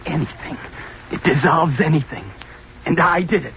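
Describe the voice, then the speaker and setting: An actor playing an obsessed young student inventor, gloating and feverish, in old-time radio melodrama on a muffled, noisy recording. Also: male voice, on the high side, normal speed, natural voice. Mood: gloating, triumphant. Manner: feverish, awestruck, repetitive exclaiming.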